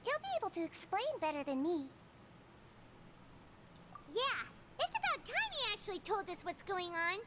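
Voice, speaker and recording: A woman voicing a small, childlike, helpful sprite companion with a squeaky voice in a video game. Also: sing-song, moderate pace, long pauses, very noisy. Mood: earnest, helpful.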